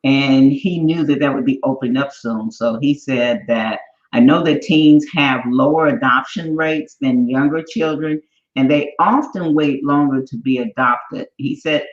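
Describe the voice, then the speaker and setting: A woman's voice: a bass voice, measured pace. Bubbly woman co-host in an echoey room. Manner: bubbly, effusive, with many fillers. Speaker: show co-host. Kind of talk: casual show chat. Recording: echoey, dull room audio.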